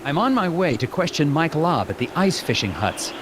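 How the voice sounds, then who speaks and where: A dutiful, polite FBI agent in a video game. His voice sits fairly high and swings in pitch as he speaks formally and earnestly. Faint noise runs behind him. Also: very animated, normal speed. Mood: musing, determined. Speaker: game character, investigator. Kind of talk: scripted video game dialogue and narration.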